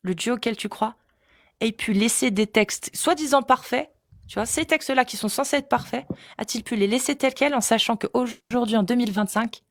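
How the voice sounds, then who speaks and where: A woman's voice: a little high, moderate pace. A woman hosting a live stream debate, pressing her guest with pointed questions. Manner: probing, insistent questioning. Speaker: host.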